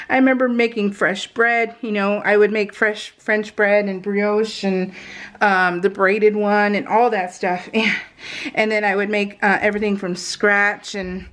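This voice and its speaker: A woman's voice: medium pitch, fairly bright tone. A woman chatting casually and ramblingly to her viewers as a craft video host, in a giggly, self-deprecating manner. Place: indoors at home, voice-over recorded while crafting.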